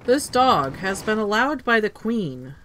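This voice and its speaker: Dutiful by-the-book gate guard, bright lively delivery, a woman reading it. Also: mid-range voice, a little slow, a little hiss or hum. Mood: formal. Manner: formal, dutiful. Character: formal rule-bound gate guard.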